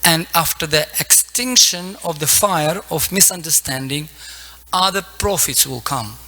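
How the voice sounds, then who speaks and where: A man with a fairly high, bright and forceful voice delivers a devotional lecture. He speaks English as a second language, in short broken phrases, pausing to search for words as he explains scripture. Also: animated. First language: not English. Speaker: preacher giving a scripture lecture. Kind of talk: devotional sermon with Sanskrit quotations.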